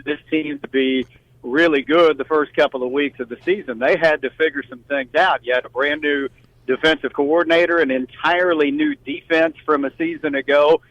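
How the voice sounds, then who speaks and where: A man's voice: on the high side, steady tone, moderate pace, a bit bright. A man who works as a sports announcer, giving conversational analysis as a radio guest. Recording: dry, slightly dull remote-guest audio.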